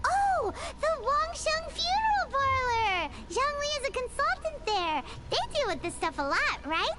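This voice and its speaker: A woman voicing a small, excitable female fairy companion in a game, talking in a bouncy, indignant way and referring to herself in the third person. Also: very high-pitched, measured pace, heavily acted. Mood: eager. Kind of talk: game voice acting.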